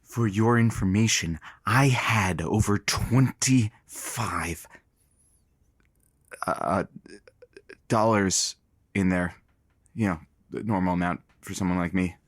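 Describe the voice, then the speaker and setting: An actor playing a cocky, wisecracking, scruffy radio station slacker in a scripted audio comedy, sardonic and joking. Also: male voice, low-pitched, takes it slowly, long pauses, no room sound, studio quality. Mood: exasperated.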